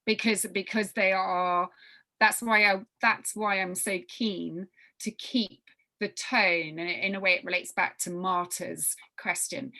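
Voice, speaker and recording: Woman workshop leader, bright forceful voice, thinks aloud and repeats phrases for emphasis. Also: mid-range voice, steady tone, unhurried, gravelly. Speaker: workshop leader briefing young writers. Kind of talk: informal spoken briefing with question and answer.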